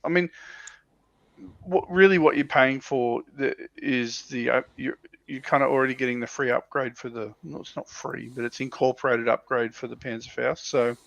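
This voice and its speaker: A man's voice: medium pitch, steady tone, normal speed, vocal fry, fairly bright tone, no echo, lo-fi sound. A man chatting casually as a panelist on a livestream, talking in a hesitant way that often doubles back on itself. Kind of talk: casual chat. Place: livestream call.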